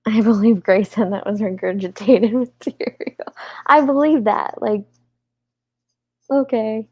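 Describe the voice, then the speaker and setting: A woman in her forties, congested and a little rough-voiced, chatting casually with her live chat and laughing often. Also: a little high, steady tone, unhurried, no room sound, lo-fi sound. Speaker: livestream host.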